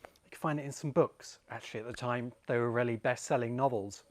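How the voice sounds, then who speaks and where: A British man in his forties presenting an informal explanatory talk as host, speaking emphatically and deliberately with pauses for effect. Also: mid-range voice, fairly even intonation. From Great Britain. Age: 40s. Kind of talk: informal explanatory video essay.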